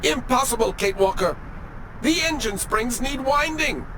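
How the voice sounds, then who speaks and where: A man's voice: very high voice, expressive, a little slow, noisy background. A male actor playing a fussy, formal automaton train driver in a video game, speaking with prim, precise diction. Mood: insistent, formal.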